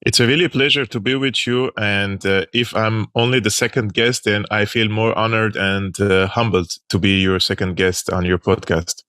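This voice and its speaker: A man's voice: fairly low pitch, fairly even intonation, moderate pace, few pauses, fairly bright tone. A man from Syria, a commentator, giving emphatic interview answers with some hesitations. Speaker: geopolitical commentator, guest.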